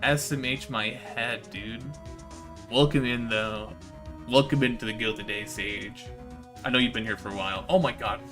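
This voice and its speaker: A man's voice: mid-range voice, steady tone, normal speed, over music. A man giving casual, slangy gaming livestream commentary, swearing and chatting to his viewers. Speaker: live streamer.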